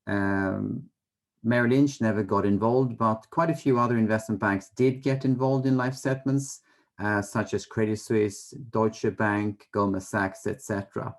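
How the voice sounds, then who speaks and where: A middle-aged man with a Swedish accent answers interview questions in a somewhat low voice. He explains things conversationally, in a measured and slightly hesitant way. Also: steady tone. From Sweden. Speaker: interview guest, fund manager.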